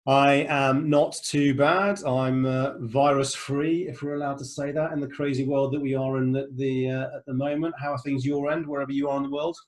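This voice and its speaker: A man's voice: mid-range voice, flat delivery, moderate pace, hardly any pauses. A middle-aged man hosting a podcast from home over a remote call, talking in a loose, rambling way with lots of fillers and restarts. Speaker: podcast host. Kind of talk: casual podcast interview.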